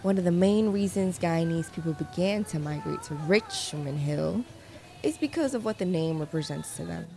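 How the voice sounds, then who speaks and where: A woman reporter reading scripted narration in a measured, descriptive way. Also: fairly low pitch, expressive, gravelly, dark tone.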